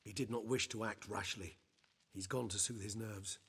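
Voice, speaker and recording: A man playing a mild, diplomatic gentleman in a video game, polite and formal in articulate phrases. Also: on the low side, steady tone, normal speed, breathy edge, exaggerated voice. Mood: measured concern. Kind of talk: cinematic video game dialogue. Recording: long hall-like reverb.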